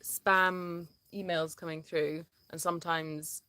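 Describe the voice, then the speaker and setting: A woman's voice: lowish voice, level tone, takes it slowly, sharp tone, smooth, no room sound. A woman co-hosting a casual livestream chat, reading out viewer comments and laughing often. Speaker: sanctuary co-host.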